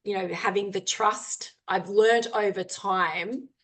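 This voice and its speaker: A woman's voice: mid-range voice, steady tone, slow, fairly bright tone. A woman adventurer giving an earnest, candid talk over a video call, peppered with ums and you-knows. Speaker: guest speaker, adventurer. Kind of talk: informal talk with screen share, then Q&A.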